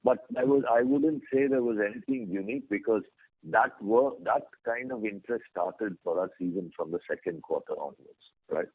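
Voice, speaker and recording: Low-voiced executive on a phone line. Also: male voice, very animated, normal speed, creaky, no room sound. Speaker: company executive on earnings call. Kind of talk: business update and answering analyst questions. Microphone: phone conference line. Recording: phone-like conference call line.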